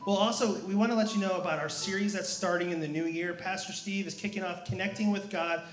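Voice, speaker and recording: A man's voice: high voice, fairly even intonation, fast talker. A man giving informal church announcements in a conversational, inviting way, as a staff member addressing a congregation. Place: church sanctuary during live service. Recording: echoey room, music behind.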